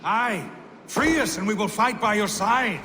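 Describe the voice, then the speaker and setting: An actor playing a fierce, vengeful captive warrior in a video game, defiant in tone. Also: male voice, high voice, measured pace, sharp tone, big-room sound, a little hiss or hum. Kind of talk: video game dramatic acting.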